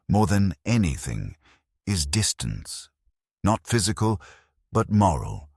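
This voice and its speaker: An older man narrating a first-person story in a slow, measured and reflective way. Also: deep voice, slightly rough, no echo, clean studio sound.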